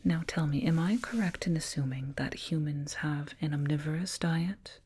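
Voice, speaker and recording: A woman whispering slowly and soothingly in an ASMR roleplay as a condescending alien dentist, close to a binaural microphone. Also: low-pitched, darkish tone. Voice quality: breathy whisper. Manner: slow, soothing, patronizing, deliberate.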